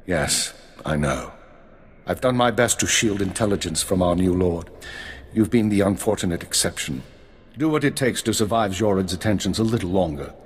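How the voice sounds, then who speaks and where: Stern middle-aged spymaster, clipped and commanding, husky, lowish voice, long reverb. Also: male voice, animated, moderate pace.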